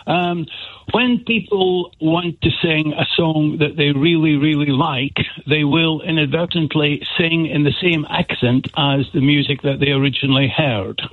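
A Scottish man from Aberdeenshire, calling a radio show and earnestly explaining a point, somewhat halting. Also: a little high, dry recording. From Aberdeenshire, Scotland. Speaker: radio caller. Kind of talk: phone-in explanation. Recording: tinny phone line.

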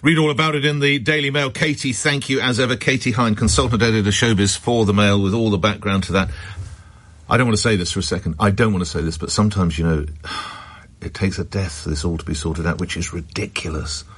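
A man's voice: fairly low pitch, fairly quick, fairly bright tone, dry recording. A man hosting a radio phone-in, chatting casually and reacting to his guests with sympathy. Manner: responsive, interjecting, sympathetic.

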